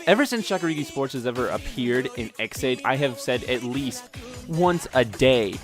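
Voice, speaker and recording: A man's voice: mid-range voice, animated, moderate pace, soft background music. A man giving casual, gushing commentary as a guest on a video.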